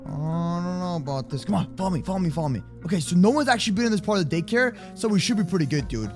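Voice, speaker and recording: A young adult man improvising a children's block-game roleplay with excitable, exaggerated, slangy banter. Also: high voice, racing, music in the background. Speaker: host of kids' gaming roleplay channel.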